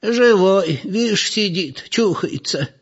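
Man putting on a high-pitched gossipy old granny. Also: animated, slow pace, slightly rough, exaggerated voice. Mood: gossipy wonder. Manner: colloquial, exclaiming. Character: gossipy, marvelling old village woman. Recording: muffled, lo-fi recording.

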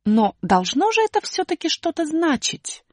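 A woman's voice: sits high, big pitch swings, normal speed, no echo, clean studio sound, pitched above the performer's own voice. A woman voicing a talking alphabet letter, a thoughtful, questioning female character with a raised voice. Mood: puzzled.

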